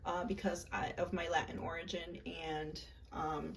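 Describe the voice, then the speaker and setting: A young woman casually walking viewers through a love spell, chatty and halting, with frequent ums and likes. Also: fairly low pitch, flat delivery. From United States, Puerto Rican and Peruvian family. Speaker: witchcraft vlogger.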